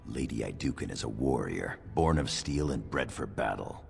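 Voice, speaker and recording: An actor playing a loyal male dwarf knight in a video game, formal and measured, with a deep gravelly voice. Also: animated, darkish tone, heavily acted. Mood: stern, informative.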